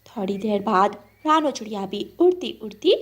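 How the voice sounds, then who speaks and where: A woman's voice: medium pitch, animated, normal speed. A woman narrating a scripted children's story.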